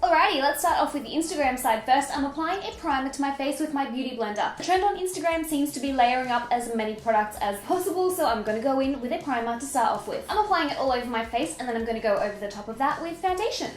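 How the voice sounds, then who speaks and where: A woman's voice: high-pitched, expressive, quick pace, few pauses, roomy sound. Chatty young woman, nasal with a cold. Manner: chatty, upbeat, self-mocking. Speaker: beauty vlogger. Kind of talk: makeup tutorial with voice-over. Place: indoor room with fairy lights.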